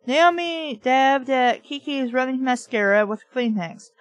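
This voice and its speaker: Blunt, sweary high-pitched woman reading aloud. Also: unhurried, dry recording, lo-fi sound. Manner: opinionated, argues back at the text. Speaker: host reading and critiquing a book aloud. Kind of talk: read-aloud with off-the-cuff sarcastic commentary.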